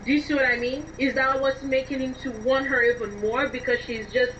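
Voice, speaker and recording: A woman being interviewed, explaining things in an expressive, emphatic conversational way over a distant, dull and noisy connection. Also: sits high, a little fast. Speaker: interview guest, scriptwriter.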